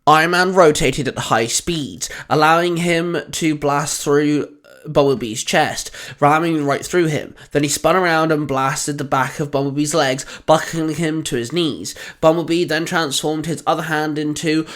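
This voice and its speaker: A man's voice: fairly high pitch, fairly even intonation, unhurried, fairly bright tone. A man hosting and narrating fan fiction audio stories in a scripted read.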